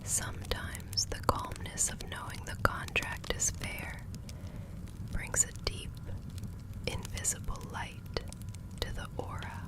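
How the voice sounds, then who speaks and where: A woman playing a serene, gentle magical law consultant in an ASMR fantasy roleplay, speaking softly in careful, measured, soothing phrases. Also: natural voice, rough recording. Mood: calm, careful.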